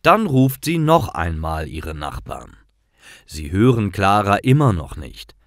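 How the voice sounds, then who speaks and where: A man reads learner stories as a scripted narration. His voice is low and lively in intonation, with very slow, deliberate pacing. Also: no room sound, clean studio sound.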